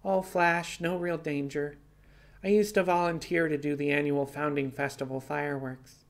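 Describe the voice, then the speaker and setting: A man's voice: on the high side, steady tone, normal speed, pitched above the performer's own voice, natural voice. A man voicing a nervous, unsure young female rabbit on the run in an audio story. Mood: sheepish.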